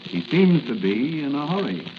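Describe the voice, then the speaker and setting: A man's voice: medium pitch, big pitch swings, normal speed, lightly acted. A man playing a dramatic, declamatory announcer narrating a 1940s radio serial. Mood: dramatic, suspenseful. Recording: noisy muffled 1940s radio recording.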